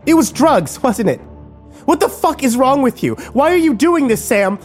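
A man narrating an audiobook voices a furious, grieving young woman, shouting bitter accusations. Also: sits high, sing-song, fairly quick, faint music behind, pitched above the performer's own voice. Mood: screaming.

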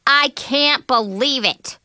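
A woman puts on an outspoken teenage girl for a children's audiobook. She is dramatic and sarcastic, speaking high and bright in a dry recording. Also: sing-song, slow pace, no echo, full character voice. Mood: shocked reproach. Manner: exclamatory, dramatic.

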